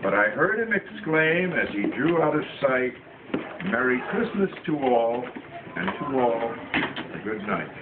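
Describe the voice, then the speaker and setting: A man's voice: mid-range voice, very animated. A man playing a jolly old Santa Claus, reading a Christmas poem aloud to children in a theatrical, avuncular way with chatty asides. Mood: storytelling, jolly. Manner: theatrical, avuncular, pauses to chat with listeners. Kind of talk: live storytelling, reading verse aloud with audience asides. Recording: tinny, echoey, distant amateur event recording, noisy crowd.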